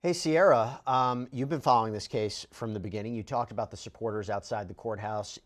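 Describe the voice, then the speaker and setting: A man's voice: fairly low pitch, a little fast. A man hosting a legal news panel, asking a reporter direct questions.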